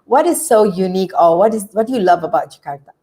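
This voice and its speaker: A woman hosting an online interview, excited and bubbly in her delivery. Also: medium pitch, fast talker. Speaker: host.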